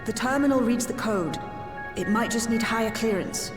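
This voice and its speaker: Man voicing an earnest young woman, high falsetto, echoey hall with long reverb. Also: normal speed, over music. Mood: encouraging.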